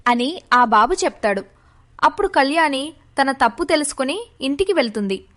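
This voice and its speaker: A woman's voice: high-pitched, lively intonation, moderate pace, a bit bright. A woman reading a scripted children's moral story as its narrator.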